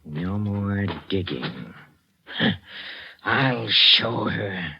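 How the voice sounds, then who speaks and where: Meek, husky, henpecked old professor. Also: male voice, fairly low pitch, very animated, extremely slow, exaggerated voice. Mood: gleeful defiance. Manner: hesitant, stammering, deferential. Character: henpecked, meek old botany professor hiding bitter defiance. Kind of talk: 1940s radio acting. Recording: dull vintage 1940s radio, faint music.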